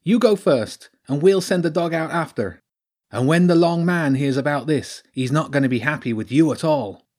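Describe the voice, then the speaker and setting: A man's voice: fairly high pitch, moderate pace, a little mellow, voice pushed up, lightly acted. A man voicing an evil, sneering polecat gang leader in a storybook, taunting and bossy.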